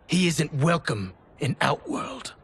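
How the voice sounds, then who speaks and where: An actor playing an arrogant, treacherous officer who threatens and mocks. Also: male voice, fairly high pitch, very animated, slow pace, a bit bright, slight background noise, full character voice. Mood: menacing. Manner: threatening, scornful.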